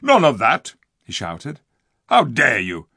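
A man doing a surly, loud-mouthed dwarf. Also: medium pitch, expressive, normal speed, no room sound, clean studio sound, voice pushed up. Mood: angry scorn. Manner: loud, scolding, sneering. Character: surly gruff male dwarf, rude and scornful.

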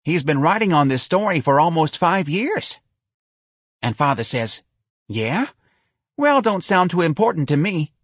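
An audiobook mother, acted out by a man, middle-aged, working-class and forever fussing. The voice is husky and swoops up and down in a folksy, plaintive way, recorded close and dry. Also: on the high side, sing-song, unhurried, no room sound, pitched above the performer's own voice, full character voice. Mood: earnest, chatty. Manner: folksy ungrammatical dialect speech. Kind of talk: audiobook character voice.

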